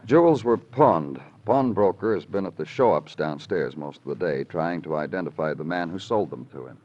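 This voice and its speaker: An actor playing a calm, probing, hard-boiled police detective in clipped, deadpan 1950s radio drama style. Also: male voice, low voice, normal speed. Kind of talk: 1950s radio crime drama acting and narration. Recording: dull, noisy old radio recording.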